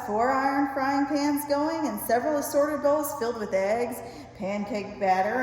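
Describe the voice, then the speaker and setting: Older lady reading a funny essay, kinda high voice, super echoey room with long reverb. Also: darkish tone, slight background noise. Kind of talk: reading a humorous essay aloud.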